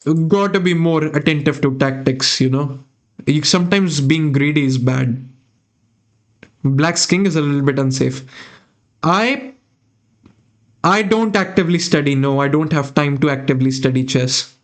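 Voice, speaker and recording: Young male chess streamer, Indian accent, slightly higher voice, musing and chatty, desk mic in a small room. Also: moderate pace. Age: young adult. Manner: thinking aloud, chatting with viewers. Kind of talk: live chess commentary.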